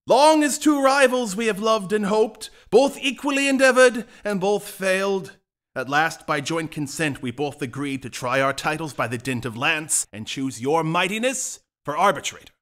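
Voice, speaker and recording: A man's voice: high voice, animated, normal speed, a bit bright. A man playing a swaggering comic knight and rival lover, declaiming in mock-heroic farce style for an audio play. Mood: pompous, formal.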